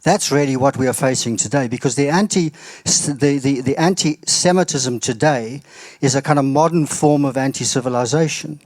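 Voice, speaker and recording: A man makes an earnest audience comment at a symposium, halting on repeated words. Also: medium pitch, a little mellow, no room sound. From South Africa. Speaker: audience member.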